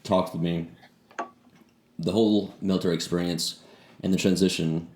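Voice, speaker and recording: A man's voice: low-pitched, fairly even intonation, moderate pace, darkish tone. A man hosting a podcast, chatting in an easygoing, informal interview style. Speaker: podcast host. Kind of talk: casual interview chat. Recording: echoey room with faint noise.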